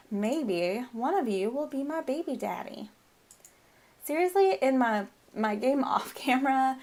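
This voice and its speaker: A woman in her thirties with a Southern US accent, chatting casually over her gameplay, her voice a little off from a lingering head cold and often breaking into giggles. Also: medium pitch. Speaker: gameplay video host.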